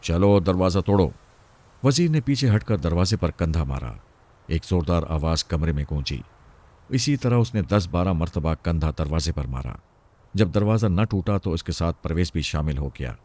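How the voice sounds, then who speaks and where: Deep, dark-voiced male storyteller. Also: animated, moderate pace. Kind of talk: scripted suspense story narration.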